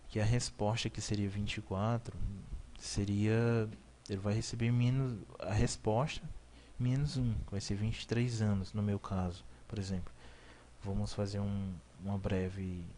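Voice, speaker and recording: A man's voice: on the low side, warm tone, a little hiss or hum. A young man, teaching a beginner coding lesson over a screen recording, explaining in a repetitive way, correcting himself and asking "ok?" to check in. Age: 20s. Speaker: programming instructor.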